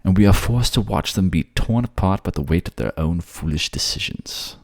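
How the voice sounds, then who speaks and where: A man's voice: deep voice, lively intonation, normal speed, vocal fry, darkish tone, no room sound, clean studio sound. A man playing a suave, manipulative fantasy ruler who coaxes his captive in an intimate ASMR audio drama. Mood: tender teasing. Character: suave manipulative male water-folk ruler, soothing captor.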